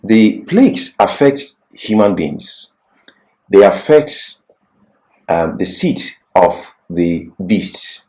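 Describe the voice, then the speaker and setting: A man's voice: lowish voice, darkish tone. A man presenting a Bible lecture, reading prepared points and explaining them emphatically, repeating key phrases. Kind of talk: scripted religious lecture with explanatory asides.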